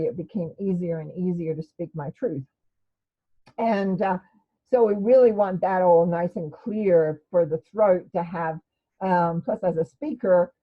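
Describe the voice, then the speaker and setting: An older woman speaks straight into a webcam in her living room, her voice loud, heartfelt and a touch emotional as she teaches. She often backtracks and restarts her sentences. The audio is slightly dull and lo-fi. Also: medium pitch. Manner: loud, warm, emotional, with many restarts and fillers. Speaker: energy healing teacher. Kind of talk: casual spoken lesson to webcam. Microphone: webcam at desk.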